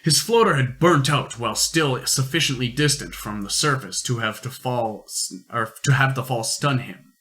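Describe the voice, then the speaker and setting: A man narrating an amateur science-fiction radio play in deliberate storytelling phrases. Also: mid-range voice, pushed and bright.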